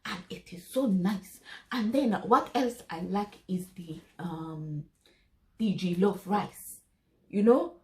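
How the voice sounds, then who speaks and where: A woman's voice: mid-range voice, darkish tone, close to an everyday voice. A woman putting on a hearty Nigerian auntie. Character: cheerful Nigerian woman praising home cooking. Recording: roomy echo.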